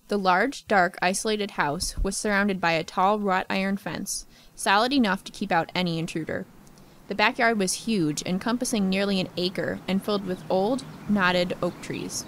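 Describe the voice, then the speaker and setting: Woman acting a reflective narrator, medium pitch. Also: natural voice. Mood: descriptive. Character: reflective grown woman recalling haunted childhood. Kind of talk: scripted radio play narration.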